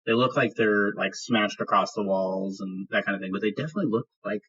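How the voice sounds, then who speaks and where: A man with a fairly low, rough-edged voice runs a tabletop roleplaying game as game master. He describes scenes in a conversational, improvised manner. The sound is somewhat muffled and lo-fi. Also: brisk, fairly bright tone. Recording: dull lo-fi sound.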